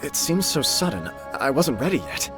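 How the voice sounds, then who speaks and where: An actor playing a gentle, devoted young nobleman who speaks earnestly. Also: medium pitch, sing-song, moderate pace, music in the background, natural voice. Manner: earnest, sincere. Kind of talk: video game cutscene dialogue.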